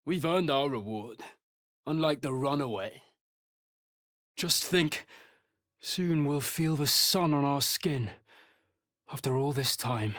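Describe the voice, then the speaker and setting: A man's voice: mid-range voice, expressive, slow, pauses often, fairly bright tone, no room sound, clean studio sound. An actor playing a bitter, arrogant male vampire spawn with a sneering, theatrical delivery in a video game. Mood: yearning. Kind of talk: dramatic video game voice acting.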